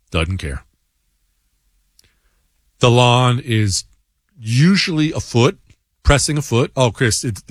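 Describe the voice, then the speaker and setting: A man's voice: mid-range voice, dry recording, studio quality. A man hosting a talk show, giving a blunt, combative monologue and reading listener emails aloud. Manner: blunt and combative, stammers on restarts. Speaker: show host.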